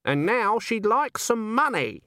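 A man's voice: sits high, very animated, measured pace, pushed and bright, no echo, clean studio sound, voice pushed up, full character voice. A man voicing a stern, imperious old witch woman, curt and haughty, as an audiobook character. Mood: nasty, bossy.

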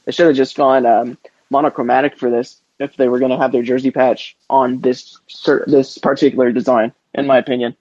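A man's voice: medium pitch, flat delivery, brisk, a little mellow, no room sound. A man co-hosting a casual sports podcast with slangy, rambling, opinionated banter. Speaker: podcast co-host. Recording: dull lo-fi close podcast audio.

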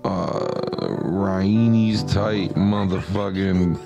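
A man's voice: low voice, steady tone, extremely slow, vocal fry, muffled recording. A man co-hosting a casual show, rambling and swearing as he freestyles. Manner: profane, rambling. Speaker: podcast co-host. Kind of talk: casual chat, freestyle rapping. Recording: music playing behind.

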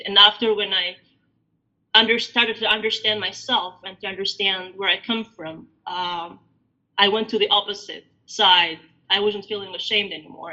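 A woman in her thirties from Albania, a podcast guest telling her story in a reflective, halting way with many fillers. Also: medium pitch, pushed and bright. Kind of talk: podcast interview. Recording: dull lo-fi audio.

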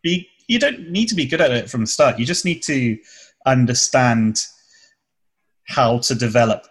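A man's voice: mid-range voice, lively intonation, normal speed, breathy edge. A young man with a southern English accent, a comedy video creator chatting casually in an interview and explaining things at length with restarts. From southern England.

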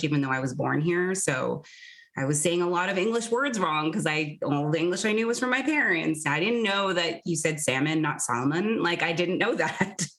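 Woman interview guest, thirties, low voice, candid and reflective, easy conversational pace. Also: a bit bright. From New Jersey, US. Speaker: podcast guest.

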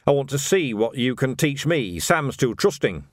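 A cocky police constable , voiced by a male narrator, cool and provoking toward his superior. The audiobook delivery has a lively rise and fall, recorded dry. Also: medium pitch, normal speed, no echo, lightly acted. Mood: challenging.

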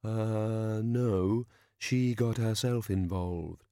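A man's voice: on the low side, warm tone, dry recording, studio quality. Man voicing cautious, pedantic robed spirit bureaucrat. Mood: pedantic, bickering. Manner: clipped, officious, deliberate.

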